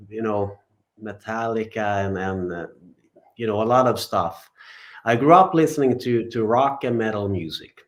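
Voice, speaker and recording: A man in his forties from Finland, a guest musician giving relaxed, rambling interview answers. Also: on the low side. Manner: relaxed, rambling, many fillers and restarts.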